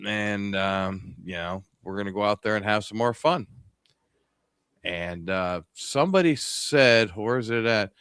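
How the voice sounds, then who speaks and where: A man's voice: lowish voice, a bit bright, clean tone, no room sound. Rambling fifties man, dry sound. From upstate New York. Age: 50s. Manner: rambling, many fillers and restarts. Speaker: ham radio stream host. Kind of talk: casual group chat on a livestream.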